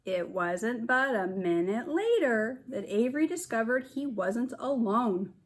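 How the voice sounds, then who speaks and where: A woman reads a children's storybook aloud in the manner of a kindergarten teacher. Her voice sits fairly high and she takes her time, putting things simply for little listeners. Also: lively intonation, unhurried. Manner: explains asides simply to young children.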